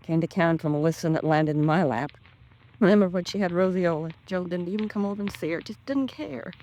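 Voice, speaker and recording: A woman's voice: lowish voice, fairly quick, warm tone, slight background noise, natural voice, rough recording. An actress playing a bitter, resentful woman in a 1950s radio crime drama, talking in terse, clipped colloquial phrases. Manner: terse, clipped working-class colloquial talk. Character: bitter, resentful woman blaming her neglectful sister.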